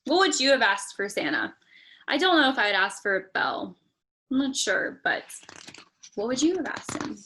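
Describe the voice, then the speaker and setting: A woman with a slightly high voice reads a picture book to young children. She is expressive and speaks to them directly, mixing narration with chatty little asides. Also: moderate pace, long pauses. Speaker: storytime reader.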